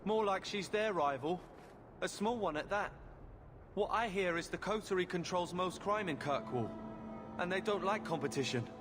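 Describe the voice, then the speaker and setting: A high-voiced rustic teenage boy. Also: lively intonation, normal speed, music in the background. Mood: shaken. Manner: plain rustic speech. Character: scared, earnest fifteen-year-old working-class boy. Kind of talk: video game cutscene acting. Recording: clear ambient game noise behind.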